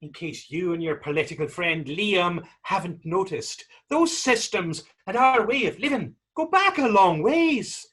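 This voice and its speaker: A man acts a witty, skeptical Irish drifter from a period stage play. His voice is husky and very lively, rising and dropping with wry, sardonic turns. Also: high voice, a little slow, hardly any pauses, exaggerated voice. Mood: skeptical, exasperated. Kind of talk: stage readers-theater dialogue in Irish period dialect.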